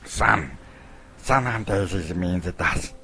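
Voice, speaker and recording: A man voicing a sneaky, hungry old cave creature with a hissing, rasping whisper and a slow, menacing, drawn-out delivery in a storybook reading. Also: sits low, sharp tone, exaggerated voice. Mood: gleeful hunger.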